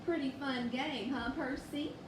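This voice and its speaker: A woman voicing a mocking, boisterous older bear who bullies, with a drawn-out, taunting delivery. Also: sits high, echoey room, recorded from a distance, noisy background, voice pushed up. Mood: gloating.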